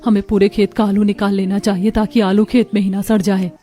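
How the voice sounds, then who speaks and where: Woman acting a worried village wife, mellow voice. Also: medium pitch, little pitch movement, a little fast, lightly acted. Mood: practical. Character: worried, dutiful poor village wife and young mother.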